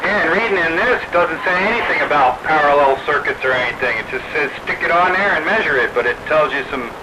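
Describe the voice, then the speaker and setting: A man's voice: fairly high pitch, animated, a little fast. A man talking casually with other hobbyists over a radio. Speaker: radio hobbyist in a group chat. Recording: very noisy radio transmission. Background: loud radio noise.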